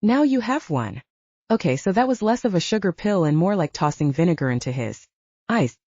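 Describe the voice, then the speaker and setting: A woman narrates an audiobook story in a relaxed, dark-toned voice. Her pitch moves around a lot, and the recording is very dry and a little dull. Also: brisk, dry recording. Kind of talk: scripted fiction narration.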